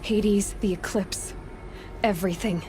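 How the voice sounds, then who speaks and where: Casting: woman as earnest young game heroine, mid-pitched, intense and deliberate, ambient noise behind. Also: fairly even intonation, natural voice. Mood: wary, determined. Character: earnest, determined young heroine seeking the truth. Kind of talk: naturalistic video game acting.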